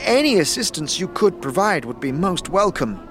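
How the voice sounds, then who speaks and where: A man's voice: high voice, sing-song, normal speed, soft background music. A man playing an anxious, flowery and over-polite messenger in a fantasy audio drama. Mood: relieved, grateful. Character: anxious, courteous, heavy-set but delicate messenger.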